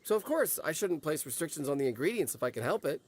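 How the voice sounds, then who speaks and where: Eager young stall owner. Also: male voice, on the high side, big pitch swings, fast talker. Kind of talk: video game voice acting.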